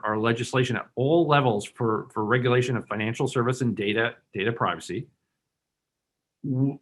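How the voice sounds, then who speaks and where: A man's voice: medium pitch, a bit bright. A man who grew up in Hong Kong, speaking as a bank executive on a webinar panel, earnest and persuasive with many restarts and "you know" fillers.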